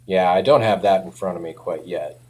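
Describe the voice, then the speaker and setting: A man speaking as a company representative in a casual product chat, informal and hedging, quick to chuckle. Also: deep voice, darkish tone.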